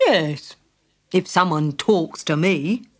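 A woman voicing a calm, matter-of-fact male piggy bank that talks in a slow, unflustered way and is sometimes cross. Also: deep voice, pitched below the performer's own voice.

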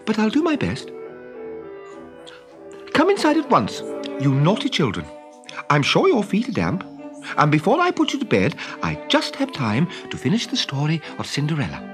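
A performer voicing a kindly little girl in a children's storybook, prim, motherly and gently bossy. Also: medium pitch, huge pitch swings, moderate pace, long pauses, over music, voice pushed up. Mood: motherly, fussing.

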